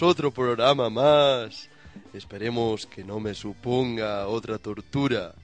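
A man hosting a mock talk-show interview in a measured, mock-formal manner. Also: fairly low pitch. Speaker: host.

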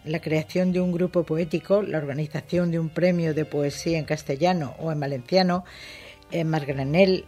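A radio interviewer speaks at a middling pitch and asks questions courteously, with an inquisitive tone. Faint music sits under the voice. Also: dark tone.

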